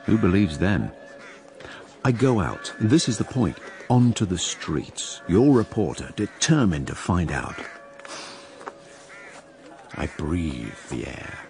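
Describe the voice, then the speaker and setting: Old writer narrating radio drama, very low and creaky, sardonic, conspiratorial. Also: male voice, very animated, darkish tone, faint music behind, heavily acted. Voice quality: gravelly. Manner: wry, conspiratorial, dramatic pauses.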